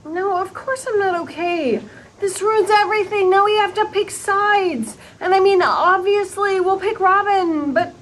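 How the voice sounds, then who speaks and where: An emotional, caring young mother. Her voice is high and her delivery slow and heartfelt. Faint noise sits underneath. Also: fairly bright tone, low-quality recording. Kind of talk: sitcom table read.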